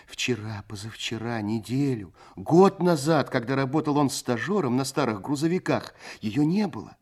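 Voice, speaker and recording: An actor narrating a Soviet radio play in a lyrical, literary way, with measured, expressive phrasing. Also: male voice, mid-range voice, lightly acted. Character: male storyteller, lyrical and unhurried.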